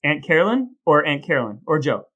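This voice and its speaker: A man's voice: on the high side, sing-song, a little fast, a bit bright, lo-fi sound. A man co-hosting a podcast, chatting casually about trivia and research in a wry, playful, rambling way. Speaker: podcast co-host.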